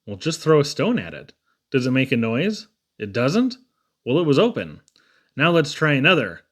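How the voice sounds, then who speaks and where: A man's voice: medium pitch, wildly swooping pitch, normal speed. A man reading humorous children's poems aloud in a theatrical, playful storytelling manner. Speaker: poetry reader.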